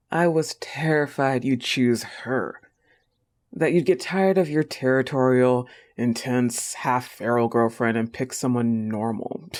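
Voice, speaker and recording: A man voicing a possessive but tender young goth wolf girlfriend, soft-spoken, in an intimate audio roleplay. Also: medium pitch, close to an everyday voice. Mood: proud, tender.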